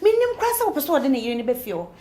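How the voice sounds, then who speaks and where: Seorang wanita yang memerankan sahabat muda yang menghibur dan menenangkan temannya. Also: female voice, high-pitched, wildly swooping pitch, very fast, dark tone, reverberant. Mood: urging. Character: comforting young female friend.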